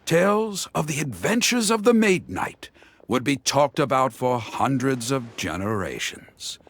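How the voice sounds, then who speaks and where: A man voicing a theatrical storyteller in a video game, spinning heroic tales in a grandiose, declamatory way with suspenseful pauses. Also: medium pitch, sing-song, fairly bright tone. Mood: grand, showy.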